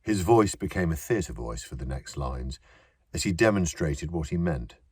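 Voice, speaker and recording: Deep-voiced male audiobook narrator. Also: animated, moderate pace, husky, no echo, studio quality.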